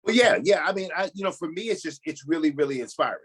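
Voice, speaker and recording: A man's voice: a little high, animated, fast, slight background noise. A man answering as an interview guest in a conversational, earnest and emphatic way, circling back with frequent "you know". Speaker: pastor and cast member, interview guest.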